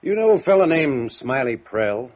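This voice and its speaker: A shrewd country police chief in an old radio drama. He is husky and folksy, laconic in wording but animated in pitch. The vintage recording sounds muffled. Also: moderate pace. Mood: folksy, probing. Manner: laconic, folksy drawling idiom. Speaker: radio drama supporting actor. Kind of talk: 1950s radio drama acting.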